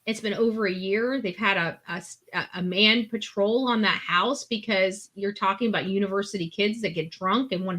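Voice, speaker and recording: Woman guest panelist, bright forceful voice, blunt and emphatic, casual opinionated talk. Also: medium pitch. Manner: blunt, emphatic, repetitive, swearing. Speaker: guest panelist on a true-crime stream.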